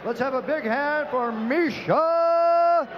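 A man working as ring announcer, calling out fighters' names with long, drawn-out shouts over a handheld mic in a large indoor arena. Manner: booming, drawn-out name calls. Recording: muffled arena PA with loud crowd noise.

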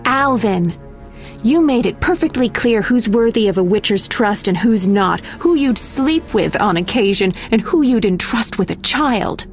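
A woman's voice: on the high side, animated, measured pace, faint music behind. A woman acting a proud, resentful young healer in a video game, curt and sarcastic. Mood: hurt, cold.

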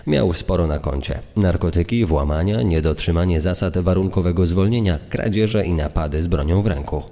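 A man's voice: deep voice, warm tone. A man reading scripted documentary narration in a recording with long reverb. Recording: long reverb over background music.

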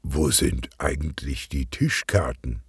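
A man doing a slow, good-natured older detective. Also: rumbling low, slightly rough, no echo, clean studio sound, voice pushed down, heavily acted. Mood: bashful.

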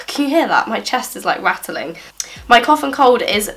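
A woman's voice: on the high side, sing-song, unhurried, fairly bright tone. A twenty-one-year-old woman with a blocked nose from a cold, chatting upbeat through a birthday haul in a small living room. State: congested from a cold. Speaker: beauty vlogger. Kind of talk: casual haul vlog.

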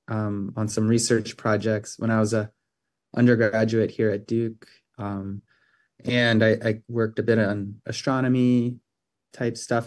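A man in his thirties from California, a professor answering interview questions softly with hesitant restarts over a video call headset. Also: on the low side. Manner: soft-spoken, hesitant with repeated starts. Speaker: professor, guest. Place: small office indoors.

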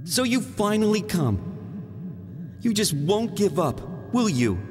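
A haughty, bitter monkey villain from a video game. The voice is very high and swings widely in pitch, full of theatrical sneering. It rings in long reverb. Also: male voice, sing-song, slow pace, over music, heavily acted. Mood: disdainful, weary. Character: arrogant, bitter villain monkey with mind-boosting helmet. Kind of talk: video game cutscene acting.

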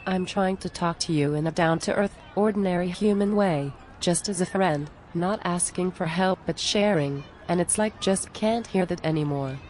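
A woman's voice: fairly low pitch, moderate pace, hardly any pauses, darkish tone, a little hiss or hum, lightly acted. A woman playing a down-to-earth, exasperated friend in a scripted cartoon dialogue, plain-spoken and conversational. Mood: frustrated, earnest.

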